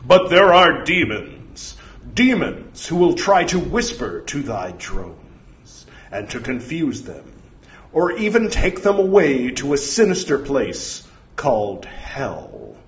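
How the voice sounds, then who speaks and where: Man playing slow, pompous, ominous commanding sergeant. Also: mid-range voice, wildly swooping pitch, a bit bright, pitched above the performer's own voice, exaggerated voice. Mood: instructing, grave. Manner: ominous, pompous, archaic speech. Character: scheming, commanding Redbird sergeant posing as ominous divine voice.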